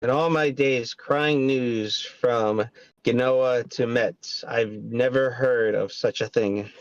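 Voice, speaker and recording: A man's voice: mid-range voice, steady tone, slow, dry recording, muffled recording. A man playing a courtly, florid town crier turned henchman in a script read, speaking formally. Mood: grave, florid. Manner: formal, poetic diction. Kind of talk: amateur script table read.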